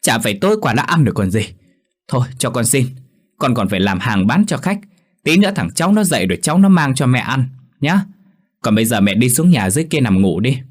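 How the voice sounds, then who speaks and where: A man voicing a burly, drunk middle-aged son who scolds his old mother loudly and impatiently. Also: lively intonation, moderate pace. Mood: angry scolding. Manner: loud, scolding, booming.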